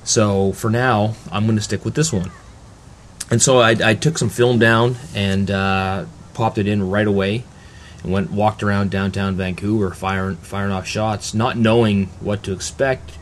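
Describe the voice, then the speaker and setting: A man hosting a podcast in a casual, jokey, rambling way. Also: lowish voice. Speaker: photography podcast host. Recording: clear background noise.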